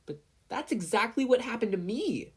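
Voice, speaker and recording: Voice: medium pitch, very animated, normal speed, fairly bright tone, slightly rough, pitched above the performer's own voice, heavily acted. A story narrator voicing an earnest, stubborn preschool boy who sounds insistent. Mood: pleading, indignant.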